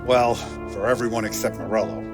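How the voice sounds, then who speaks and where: Man playing measured, shrewd older mob boss. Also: fairly low pitch, natural voice. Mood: shrewd, commanding. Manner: deliberate, storytelling, authoritative. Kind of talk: video game cutscene acting. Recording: music under the voice.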